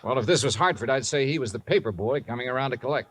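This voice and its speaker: A man's voice: medium pitch, fast, a bit bright, a little hiss or hum, close to an everyday voice. An actor playing a shrewd, wry male investigator in a 1950s radio drama, with a dry, clipped delivery. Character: wry, shrewd male investigator narrating his own case. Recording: 1950s radio recording with music.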